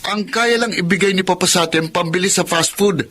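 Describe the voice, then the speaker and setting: A man's voice: high-pitched, brisk, pushed and bright. A man playing a caring, earnest younger sibling in a Tagalog radio drama. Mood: reproachful.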